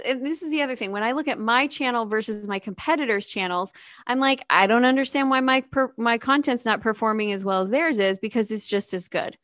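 Woman podcast host, slightly high, chatty storyteller, dry sound. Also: fairly bright tone, no room sound. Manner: storytelling with acted-out inner quotes, many "like"s. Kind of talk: casual podcast chat.

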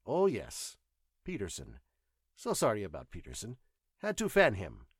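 A man voicing a gruff, sly winged alien pilot who speaks in terse, broken English bursts for an audio story. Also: lowish voice, huge pitch swings, moderate pace, no room sound, clean studio sound, full character voice. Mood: sly, smug.

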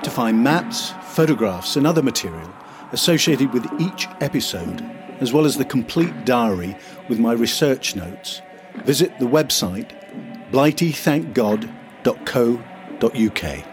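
A middle-aged man with a husky voice, reading scripted documentary narration as a podcast host. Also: medium pitch, lively intonation, a little slow, over music.

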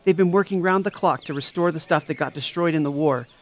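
A man acting in an audio drama as a caring, excitable and devoted young friend, earnest and expressive. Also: a little high, quick pace, natural voice. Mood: excited.

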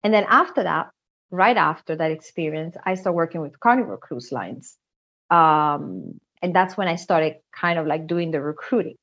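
A woman's voice: low-pitched, moderate pace, a bit bright. Italian woman, slightly muffled recording. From Italy. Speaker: guest, international recruitment director. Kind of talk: conversational interview storytelling. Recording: slightly dull, lo-fi sound.

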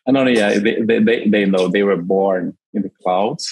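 A man from Brazil with a Brazilian Portuguese accent, a company CEO answering interview questions haltingly with frequent restarts. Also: fairly low pitch, creaky, a little mellow, slightly rough, no echo, low-quality recording. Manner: halting, many restarts and fillers.

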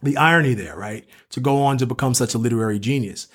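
A man's voice: medium pitch, fast, fairly bright tone, breathy edge. A man speaking as a professor and co-host, explaining literature in a scholarly way and often posing rhetorical questions.

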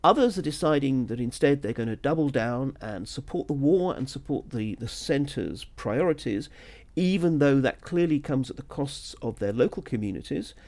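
A man's voice: mid-range voice, lively intonation, moderate pace, darkish tone. A man talking through political analysis as a solo podcast host, conversational and discursive, with asides and self-corrections.

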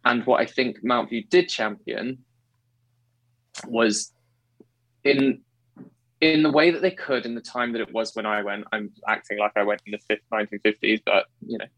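A man in his thirties from England with a baritone voice, a guest talking earnestly about his training, often correcting himself mid-sentence. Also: steady tone, normal speed, pauses often, a bit bright, no room sound, poor audio. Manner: earnest, self-correcting, effusive. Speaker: guest, actor and singer. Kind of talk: conversational interview.

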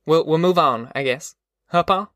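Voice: medium pitch, moderate pace, fairly bright tone, dry recording, studio quality, close to an everyday voice. A narrator voicing a weary, sensible young farm man with plain rustic speech in an audiobook. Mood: easygoing, practical. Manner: folksy rustic dialect, plain-spoken. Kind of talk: audiobook character voice.

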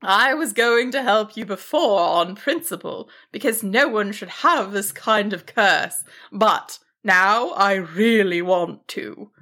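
A huge, gentle-natured dragon from a children's tale, acted by a woman. It speaks in a loud, deliberate, unhurried way, kindly and full of reassurance. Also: mid-range voice, expressive, full character voice. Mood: kindly, reassuring. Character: giant kind-hearted dragon, booming and reassuring.

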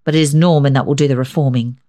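A woman voicing a gruff, stern old grandfather in a storybook reading. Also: extremely low pitch, fairly even intonation, fast talker, warm tone. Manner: gruff, grim.

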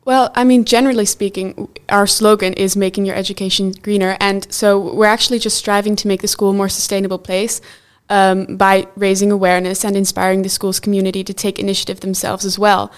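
A woman's voice: mid-range voice, little pitch movement, brisk. A teenage girl from the Netherlands, answering questions as a student guest in an interview. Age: teens.

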